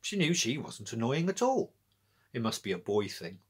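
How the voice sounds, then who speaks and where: A man's voice: medium pitch, big pitch swings, moderate pace, slightly rough. A middle-aged British man narrating a children's story as a storyteller. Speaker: author and storyteller. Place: small indoor room with bookshelves.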